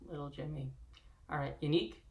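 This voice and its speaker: A man's voice: a little high, fairly even intonation, fairly quick, darkish tone. Easygoing male host, echoey room. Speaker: host of live table read. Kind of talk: casual hosting. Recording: echoey room on livestream call.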